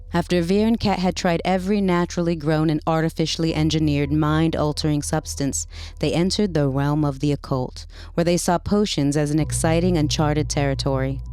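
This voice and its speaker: A woman's voice: deep voice, dark tone. A woman narrating a short story as a dramatic reading.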